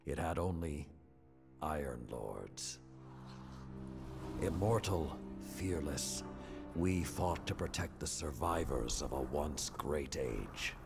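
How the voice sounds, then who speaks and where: An actor playing a solemn, weary last warrior lord in a video game, speaking slowly and gravely in dramatic narration. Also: male voice, lively intonation, heavily acted. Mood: proud, grave. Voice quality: gravelly, very deep. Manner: solemn, slow, weighty delivery. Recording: music under the voice. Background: cinematic music.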